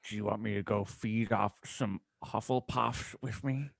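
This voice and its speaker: Man voicing goth vampire boy, sullen, crude, comic storybook reading, unhurried, dry sound. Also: fairly low pitch, fairly even intonation, vocal fry, no echo, exaggerated voice. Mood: gloomy.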